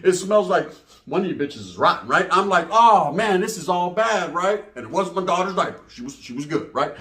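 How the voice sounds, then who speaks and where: A man's voice: fairly high pitch, animated, a little fast, pushed and bright, echoey room. A man telling a raunchy comic story casually, full of slang and swearing and acting out the dialogue. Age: adult, parent. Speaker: comedic storyteller.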